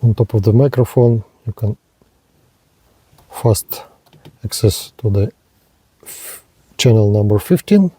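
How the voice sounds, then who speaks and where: A male reviewer walks through a device in short, halting pieces. He speaks slowly, with a very mellow, dark tone that is somewhat husky and unclear. The delivery is that of a practical hands-on demonstration. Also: lowish voice, lively intonation, no echo. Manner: halting, short item-by-item phrases. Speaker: product reviewer, presenter.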